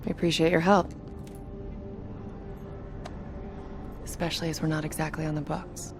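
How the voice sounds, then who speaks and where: An actress playing a serious, composed military operative giving businesslike briefings in a video game. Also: female voice, sits low, level tone, fast talker, music in the background, natural voice. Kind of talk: video game cutscene acting.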